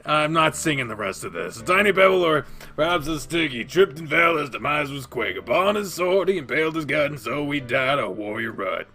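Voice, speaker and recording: A man voicing an excitable young male animal-person who boasts about his tabletop game characters. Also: a little high, brisk. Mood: chatty. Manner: giggly, boastful storytelling.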